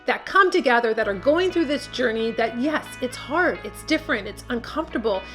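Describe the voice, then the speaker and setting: Woman, beauty vlog host, slightly high voice, emphatic, encouraging, faint music behind. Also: lively intonation, brisk, fairly bright tone. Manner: emphatic, encouraging, repeats key words. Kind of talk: talking to camera, giving tips.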